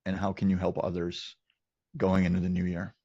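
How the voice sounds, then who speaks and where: A man's voice: deep voice, completely flat delivery, moderate pace, darkish tone, no room sound, dull audio. A man hosting a casual business interview, talking in an encouraging, affirming way. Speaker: interview host, company founder.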